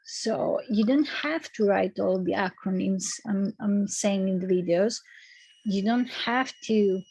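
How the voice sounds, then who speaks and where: A woman lecturer with a Greek accent giving online class announcements, repeating words and filling pauses with um and okay. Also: medium pitch, a little mellow, husky, dry recording, rough recording. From Greece. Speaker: university lecturer. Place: recorded video call. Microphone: computer mic on a video call.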